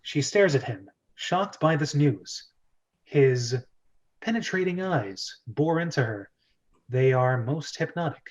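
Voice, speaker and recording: Male host-narrator for a screenplay reading, moderately high pitch, slightly muffled lo-fi recording. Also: lively intonation, slow pace. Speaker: host and stage-direction narrator. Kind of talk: dramatic script reading, narration.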